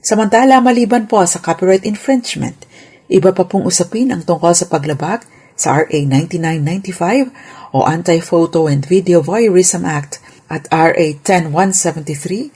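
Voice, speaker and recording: A woman hosts a legal segment with a mellow, relaxed tone and a husky edge to her slightly low voice. She reads prepared explanations formally and politely, instructing listeners and slipping English terms into her speech. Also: normal speed. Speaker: lawyer and radio legal segment host.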